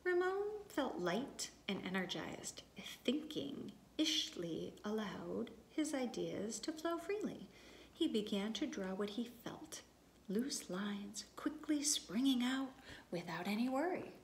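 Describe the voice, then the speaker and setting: Woman reading aloud in an echoey room. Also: a little high. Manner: expressive, deliberate, addressing children directly. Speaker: preschool teacher. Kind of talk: read-aloud storytelling to young children. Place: empty classroom. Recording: echoey room with background noise.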